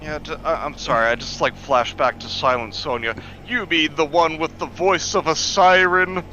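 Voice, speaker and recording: A man's voice: on the high side, fairly even intonation, moderate pace, bright, forceful tone. A man chatting casually as a co-commentator, blunt and exasperated in his criticism, on a lo-fi mic. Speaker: co-commentator on a story reading. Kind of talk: casual chat. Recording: dull lo-fi mic with faint noise.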